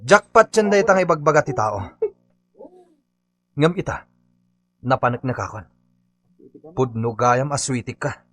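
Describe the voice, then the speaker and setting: A man's voice: mid-range voice, expressive, unhurried, pauses often, a bit bright, no room sound. A man playing a stubborn, aggrieved Filipino labourer in a radio comedy drama, insistent and accusing. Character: aggrieved, stubborn male labourer demanding his unpaid wages.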